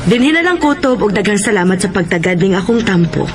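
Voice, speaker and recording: Radio drama lead: forgiving, dignified wife, played by a woman, Cebuano accent, medium pitch, restrained, music underneath. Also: lively intonation, quick pace, close to an everyday voice. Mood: reflective. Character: wronged but forgiving wife, dignified and resolute. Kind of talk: Philippine radio drama acting and letter narration. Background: dramatic background music.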